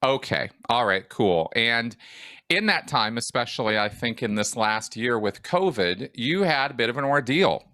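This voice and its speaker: A man hosting a podcast interview, upbeat and brisk as he introduces and questions his guest. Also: mid-range voice, animated, pushed and bright, no echo, studio quality. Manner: brisk, upbeat, quick talker. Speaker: podcast host.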